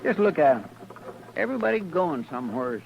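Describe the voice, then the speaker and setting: An actor playing an earnest, deferential Texas deputy with a homespun Southern drawl in a 1950s radio western. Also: male voice, a little high, big pitch swings, fairly quick, creaky. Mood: earnest, folksy. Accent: Southern American, Texas drawl. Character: folksy, simple, deferential male deputy from Texas. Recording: muffled, noisy old radio recording.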